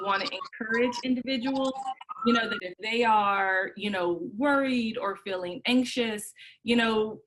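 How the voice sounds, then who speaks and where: A woman's voice: medium pitch, a bit bright, poor audio. Encouraging woman mental health panelist. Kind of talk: online panel discussion.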